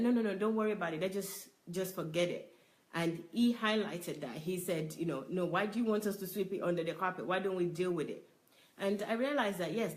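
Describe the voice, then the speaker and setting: Reflective discussion leader with a mid-range voice who thinks aloud. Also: medium pitch. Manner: reflective, with frequent restarts and fillers. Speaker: book review discussion leader. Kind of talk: reflective personal sharing.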